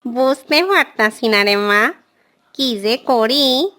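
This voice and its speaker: A woman voicing a gentle, worried village mother in a cartoon, with fretful speech. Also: fairly high pitch, expressive, takes it slowly, full character voice.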